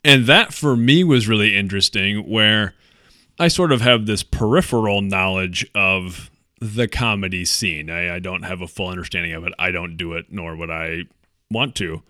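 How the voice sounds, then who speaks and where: Man, bright forward voice, dry close sound, casual podcast banter, jokey and self-deprecating. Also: low voice, normal speed, no room sound. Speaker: podcast co-host. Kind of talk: casual comedic chat.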